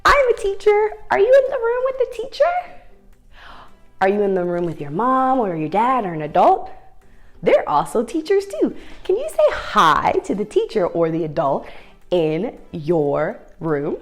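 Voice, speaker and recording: A woman's voice: mid-range voice, wildly swooping pitch, measured pace, soft background music. A woman leading a story time ballet lesson for young children, giving encouraging instructions and sing-song questions.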